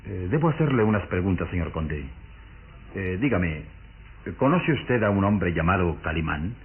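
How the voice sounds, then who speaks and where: A resolute, edgy police inspector in a vintage radio play. His very deep voice moves dramatically up and down as he probes. The sound is dull and narrow, with music behind. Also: animated, moderate pace, slightly rough, heavily acted. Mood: suspicious, formal. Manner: dramatic, questioning, urgent. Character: earnest, nervous police inspector, resolute and suspicious. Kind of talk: old Latin American radio drama acting. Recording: muffled old radio recording with music.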